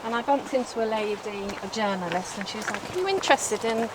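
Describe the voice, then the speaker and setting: A woman's voice: on the high side, expressive, fast talker, very noisy. Friendly woman chatting in a garden. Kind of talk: casual conversation. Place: outdoors in a garden.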